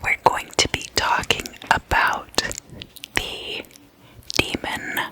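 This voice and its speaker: An ASMR host whispering in a breathy, hushed and deliberate way, as if chatting at a sleepover.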